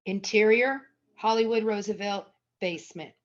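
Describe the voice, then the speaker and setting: Woman to narrate screenplay directions, medium pitch, on somewhat dull audio. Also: moderate pace. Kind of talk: scripted narration.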